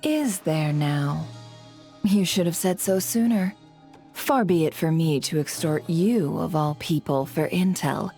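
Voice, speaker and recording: A shrewd female broker speaks in a lowish, composed voice. She is coy and insinuating, a polished video game character with faint music behind her. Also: expressive, measured pace, dark tone. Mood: coy, sly. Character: shrewd, sly female intelligence broker, coolly polite.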